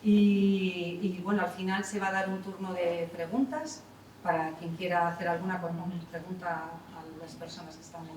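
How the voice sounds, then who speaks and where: A woman's voice: lowish voice, fairly even intonation, normal speed, a little mellow, a little hiss or hum. A woman, an artistic director introducing a conference panel in a chatty way, full of fillers and self-corrections. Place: theatre hall. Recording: echoey hall, voice from far off.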